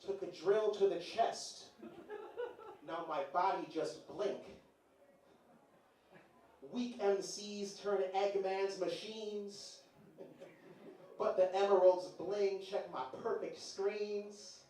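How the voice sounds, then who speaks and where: A man's voice: sits high, steady tone, takes it slowly, long pauses, darkish tone. A man reciting a comic poem live at an indoor venue in a theatrical, jokey manner. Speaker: live show performer. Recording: very echoey live venue, distant, faint noise.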